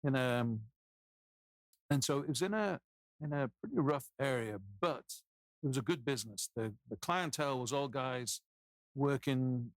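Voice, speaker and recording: A man's voice: mid-range voice, moderate pace, dry recording. A man in his fifties with an English accent, a podcast guest telling stories in a loose, rambling way. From England. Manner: rambling, anecdotal, many restarts. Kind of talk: casual storytelling interview.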